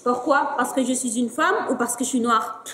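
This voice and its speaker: A woman's voice: fairly high pitch, moderate pace, fairly bright tone, a little hiss or hum, lightly acted. A young woman on an amateur stage, playing a composed manager who speaks firmly. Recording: reverberant hall, distant mic.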